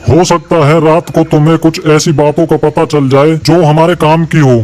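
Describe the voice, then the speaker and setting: Man voicing a serious, higher-pitched cartoon elephant. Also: level tone, moderate pace, darkish tone, natural voice. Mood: encouraging. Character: worried, serious male elephant guarding the forest. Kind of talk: animated moral story dialogue. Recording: music behind the voice.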